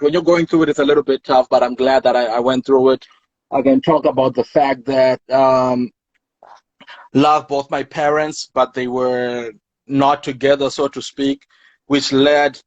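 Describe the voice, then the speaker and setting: A man's voice: medium pitch, no room sound. Reflective man from Zimbabwe, dull dry sound. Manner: reflective, searching for words, many restarts. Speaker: podcast guest, poet. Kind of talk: casual interview talk.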